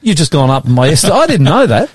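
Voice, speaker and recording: A man's voice: on the high side, very animated, slightly rough, no echo, clean studio sound. Chatty Australian man hosting radio. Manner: enthusiastic, chatty, quick to laugh. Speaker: radio host and pastor. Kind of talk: radio talk show chat.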